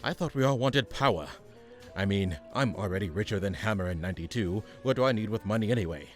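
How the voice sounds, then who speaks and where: A man's voice: lowish voice, expressive, quick pace, soft background music, natural voice. A man playing an exasperated, condescending supervillain mastermind in a comic radio play, pompous in his delivery. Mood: weary scheming.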